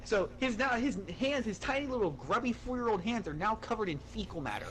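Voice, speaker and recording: A man with a slightly high voice tells a personal story in a loose, conversational style. He is animated and exasperated, peppering his account with profanity and dramatic retellings. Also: a little fast, a little hiss or hum, low-quality recording. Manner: profane, exasperated, uses acted-out dialogue.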